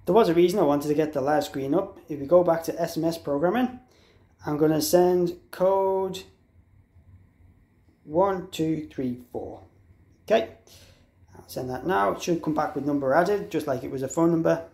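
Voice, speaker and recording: A man with a slightly high voice talks through a product tutorial in an echoey room. He explains things step by step in an informal, unscripted way, carefully spelling out letters and numbers. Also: moderate pace, gravelly, pauses often, dark tone. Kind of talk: instructional walkthrough, informal and unscripted. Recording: echoey, roomy sound.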